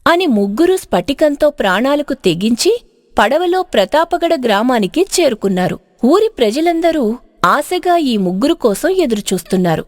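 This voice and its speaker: A woman's voice: high-pitched, sing-song, normal speed, no echo, studio quality. A woman narrating a storybook tale in a dramatic, scripted style.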